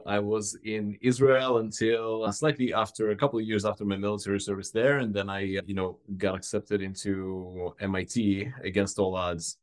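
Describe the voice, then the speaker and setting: A man from Ukraine, a company founder, answering interview questions reflectively, with frequent restarts and a voice that drops softly at the ends of thoughts. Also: lowish voice, level tone, normal speed, few pauses. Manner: reflective, many fillers and restarts, trails off softly. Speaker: startup founder guest. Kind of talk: casual interview.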